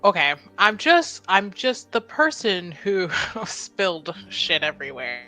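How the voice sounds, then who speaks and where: A woman with a bright, lively voice chats as a player in a roleplaying game. She talks casually and playfully, at an unhurried pace, with her pitch moving around a lot. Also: faint music behind, poor audio.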